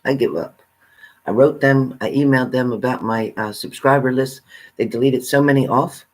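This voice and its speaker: A man with a mid-pitched voice hosts an informal live stream. He talks casually and directly to the people watching, in an everyday conversational way. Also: normal speed, low-quality recording. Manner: informal, addresses viewers by name. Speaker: livestream host. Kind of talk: casual live chat with viewers.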